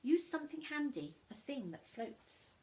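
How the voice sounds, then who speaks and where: A woman's voice: high-pitched, very animated, moderate pace, warm tone. A woman voicing a friendly, adventurous young pirate boy in a storybook, with an earnest, sing-song delivery. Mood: wistful, friendly.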